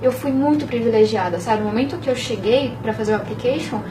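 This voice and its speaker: Quick, high-voiced young woman. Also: recorded from a distance, poor audio. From Bahia, Brazil. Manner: fast, animated, peppered with "sabe?". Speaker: interview guest. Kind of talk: casual interview talk.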